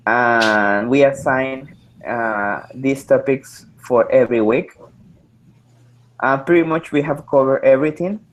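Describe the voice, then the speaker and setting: A man's voice: medium pitch, slight background noise, rough recording. A man, presenting to an online study group, hesitant and full of filler sounds. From Mexico. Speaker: student presenter. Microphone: video call.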